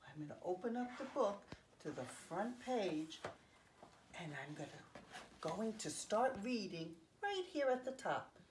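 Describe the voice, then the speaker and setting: Slow, very animated woman reading to children. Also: medium pitch, background noise. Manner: expressive, simple explaining for small children. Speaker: children's story time host. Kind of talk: read-aloud to young children with asides. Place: home living room, indoors.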